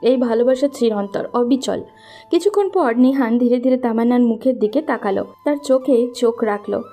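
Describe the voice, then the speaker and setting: Casting: female storyteller for romance audio, high but mellow voice, gentle music bed. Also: moderate pace. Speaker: narrator. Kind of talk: scripted romantic story narration.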